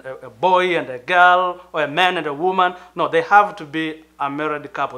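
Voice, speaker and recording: Middle-aged tanzanian pastor guy, earnest and measured, bright clear-edged voice, kinda high for a man. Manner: earnest, measured, with thoughtful pauses and fillers. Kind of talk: sermon-like talk to camera.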